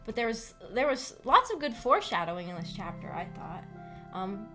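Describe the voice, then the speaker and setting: A woman hosting a book club livestream, chatting casually and haltingly with her audience, often giggly. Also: mid-range voice, big pitch swings, a bit bright, faint music behind. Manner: giggly, breaks into small laughs often. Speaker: host of a visual novel book club stream. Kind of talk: casual livestream discussion with chat.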